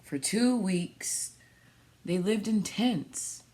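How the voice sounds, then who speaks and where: A woman reading a picture book aloud for children, pausing and adding little asides about the pictures. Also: medium pitch, lively intonation, mellow, a little hiss or hum. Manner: pausing, pointing out pictures to young listeners. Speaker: storytime reader for a children's theatre.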